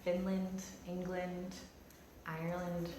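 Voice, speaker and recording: A woman's voice: fairly low pitch, completely flat delivery, slow, warm tone. A woman in her twenties introducing herself and listing her ancestral countries one by one. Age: 20s. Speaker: think tank participant. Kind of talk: self-introduction on camera. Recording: distant, echoey, noisy.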